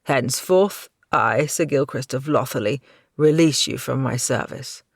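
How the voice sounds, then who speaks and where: A reader voicing a cold, haughty knight. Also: male voice, mid-range voice, unhurried, dry recording, clean studio sound. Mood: disdainful. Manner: formal, disdainful.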